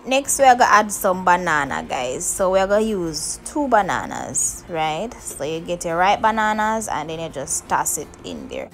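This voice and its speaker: A woman's voice: on the low side, wildly swooping pitch, normal speed, hardly any pauses, soft background music. A woman hosting a cooking tutorial, talking viewers through each step and often checking in with "okay, guys" and "right?". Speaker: cooking show host.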